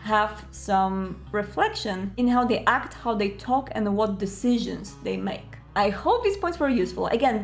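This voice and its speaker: A woman video creator giving measured, articulate scripted advice directly to viewers. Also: fairly high pitch, creaky. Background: music.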